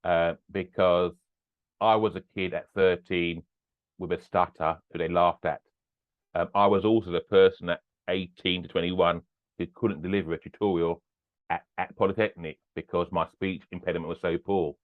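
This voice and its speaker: A man's voice: low voice, little pitch movement, moderate pace. An older man, a business owner and podcast guest, talking earnestly in a casual interview and repeating his phrases. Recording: tinny phone-like line.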